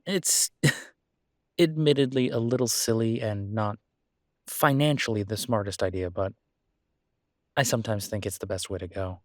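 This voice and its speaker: Man acting as a gently hesitant, devoted boyfriend, close-up. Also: on the low side, a little slow, pauses often, no room sound, close to an everyday voice. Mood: sheepish, amused. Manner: hesitant, casual, soothing, with soft chuckles. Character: devoted human boyfriend of a werewolf, gently reassuring. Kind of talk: roleplay audio acting, intimate one-sided conversation.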